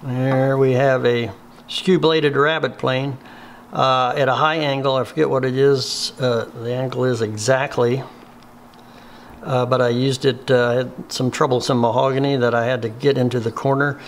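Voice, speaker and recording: A middle-aged man giving a hands-on woodworking tutorial in a workshop, unhurried and plainspoken, with many ums and uhs. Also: medium pitch, fairly even intonation, slight background noise. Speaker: woodworking instructor.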